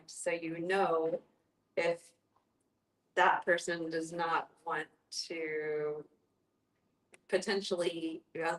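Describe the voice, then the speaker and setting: A woman taking part in a conversational group discussion, questioning and thinking aloud. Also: low-pitched. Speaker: discussion participant. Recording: echoey room.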